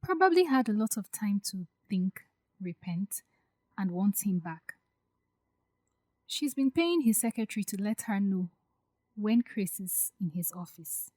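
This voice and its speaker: Audio drama: insecure, guarded young woman, played by an actress, confiding earnestly in a mid-pitched voice, dry recording. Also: sing-song, a little slow, pauses often, a little mellow, no room sound, poor audio. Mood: bitter, resigned.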